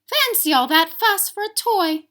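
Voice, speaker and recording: A woman voicing a brisk, grumbling nursery nanny from a storybook, exclaiming with drawn-out, emphatic words. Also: very high voice, big pitch swings, sharp tone, pitched above the performer's own voice, heavily acted. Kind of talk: storybook read-aloud character voice.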